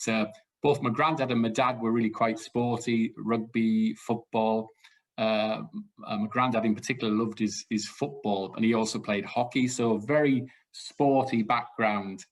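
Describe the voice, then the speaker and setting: Guy in his 60s, chatty guest, lots of ums and restarts. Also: on the low side. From South Wales. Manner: hesitant, many fillers and restarts. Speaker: retiring assistant director, guest. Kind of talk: casual interview chat.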